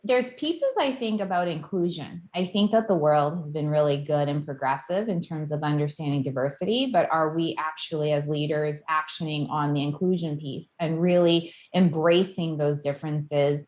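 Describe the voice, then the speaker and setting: A woman's voice: low-pitched, moderate pace, hardly any pauses, dull audio. A woman on a discussion panel, thoughtful, who often repeats words as she restarts.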